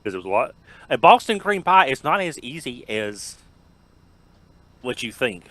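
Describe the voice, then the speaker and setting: A man's voice: mid-range voice, big pitch swings, moderate pace, bright, forceful tone, no room sound. A man in his fifties chatting casually on a podcast, joking and repeating words as he gets going. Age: 50s. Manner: jokey, repeats words when starting. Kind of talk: casual chat.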